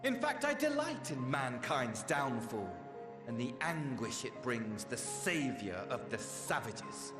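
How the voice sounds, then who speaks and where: An actor playing a spiteful, haughty, vengeful dead villain in a video game, with a sneering, formal, theatrical delivery. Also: male voice, mid-range voice, very animated, slow pace. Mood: sneering, gloating. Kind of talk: dramatic video game dialogue. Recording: echoey hall reverb with music behind.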